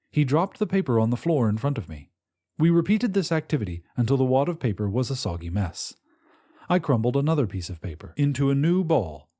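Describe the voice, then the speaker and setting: Mellow male narrator with expressive pitch. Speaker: audiobook narrator. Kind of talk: scripted first-person fiction narration.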